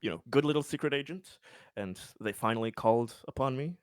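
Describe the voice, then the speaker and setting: A man's voice: medium pitch, a little fast, no room sound, clean studio sound. A man playing a tabletop RPG, casually recapping his character's schemes in a rambling, sweary, self-amused way.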